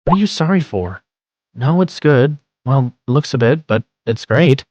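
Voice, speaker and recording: A man voicing a studious, modest teenage boy in a manga dub, calm, earnest and self-deprecating. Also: mid-range voice, moderate pace, no room sound, highs cut off, natural voice. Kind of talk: fan manga dub with narration.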